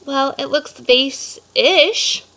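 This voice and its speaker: A woman's voice: high voice, drawn-out words, sharp tone, voice pushed up, exaggerated voice. A woman voicing a keen, encouraging little sister in a storybook read-aloud, upbeat and earnest. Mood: admiring.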